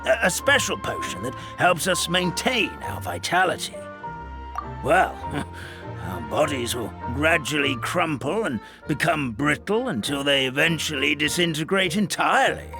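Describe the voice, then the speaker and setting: Doddery old storybook forest grandpa, very up and down, theatrical, rambles a bit, game music under it. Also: male voice, a little high, sing-song. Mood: alarmed, earnest. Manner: halting, rambling, theatrical storytelling with stammered repeats. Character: forgetful kindly elderly paper forest dweller, grandfatherly. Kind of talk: video game character storytelling. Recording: studio voice over background music.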